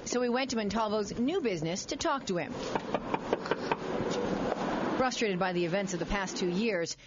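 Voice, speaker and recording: A woman's voice: medium pitch, lively intonation, normal speed, muffled recording, very noisy. A middle-aged American woman narrating a scripted television consumer news report.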